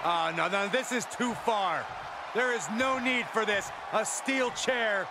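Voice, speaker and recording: A man's voice: high-pitched, slow pace, very noisy. A man voicing an opinionated, emphatic color commentator in a wrestling video game. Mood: alarmed. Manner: emphatic, reactive. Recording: loud arena crowd behind commentary.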